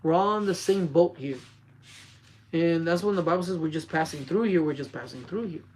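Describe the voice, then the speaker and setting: A man's voice: a little high, a little fast, a little mellow, a little hiss or hum, rough recording. A man in his forties hosting a casual faith talk for his channel, speaking in an earnest, repetitive, urging way. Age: 40s. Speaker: channel host.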